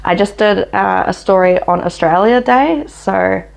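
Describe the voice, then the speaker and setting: A woman's voice: medium pitch, unhurried, vocal fry. A woman with a New Zealand accent, hosting her history channel and telling the story in an opinionated, chatty way with frequent laughs.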